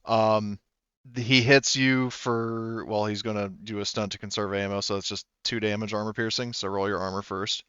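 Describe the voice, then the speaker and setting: A man working as game master in a tabletop role-playing game, casually narrating and thinking aloud haltingly through the rules. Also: lowish voice, level tone, sharp tone, smooth, no room sound, highs cut off.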